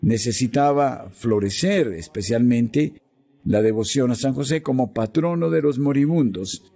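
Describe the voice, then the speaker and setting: A man reading a devotional text in a slow, solemn, measured way, pausing between phrases. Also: medium pitch, creaky, breathy edge. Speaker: devotional reader. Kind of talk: slow scripted devotional narration. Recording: soft music underneath.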